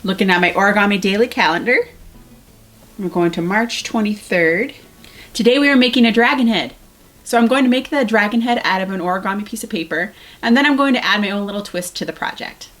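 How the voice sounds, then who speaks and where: A woman's voice: medium pitch, fairly quick, a bit bright, soft background music. A woman in her thirties presenting as a school librarian host, talking casually to camera for students. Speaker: school librarian hosting a virtual library show.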